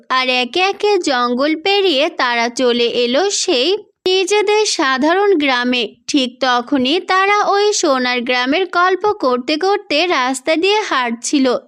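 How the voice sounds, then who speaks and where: A woman's voice: high voice, fairly bright tone. A woman storyteller reading scripted fairy tale narration for children.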